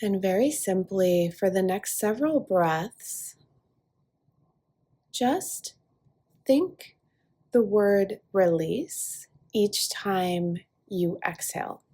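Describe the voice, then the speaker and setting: Woman doing yoga nidra guiding, super slow n soothing, soft husky breathy voice, lots of long pauses. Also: medium pitch, animated. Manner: unhurried, soothing, with long pauses between short phrases. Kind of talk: guided relaxation meditation, speaking softly to listener.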